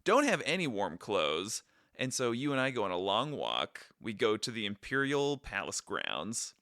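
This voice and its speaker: A man's voice: fairly low pitch, normal speed, no room sound, studio quality. A man hosting a travel podcast in casual, joking chat with his wife. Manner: playful, jokey, lively. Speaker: podcast host.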